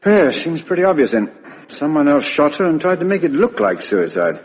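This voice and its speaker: Police inspector: British, informal, teasing, very animated delivery, reverberant old radio sound. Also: male voice, a little high, brisk. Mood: brisk, businesslike. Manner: blunt, informal, matter-of-fact. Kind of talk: 1950s radio drama acting. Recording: muffled, echoey 1950s radio recording.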